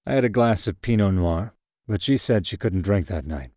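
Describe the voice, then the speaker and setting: Deep-voiced male storyteller, calm. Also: normal speed, dry recording, clean studio sound. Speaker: narrator. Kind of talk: scripted narration of a short story.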